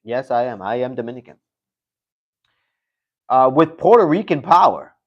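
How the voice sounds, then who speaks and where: A man's voice: medium pitch, sing-song, moderate pace. Rambling man on a lo-fi stream. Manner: rambling, repeats himself, fillers and profanity. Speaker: livestream host. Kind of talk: casual live-stream commentary.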